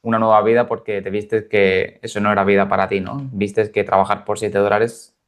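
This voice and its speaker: A man's voice: on the low side, little pitch movement, moderate pace. A man interviewing a guest for a podcast in an informal, conversational way, often rephrasing his questions.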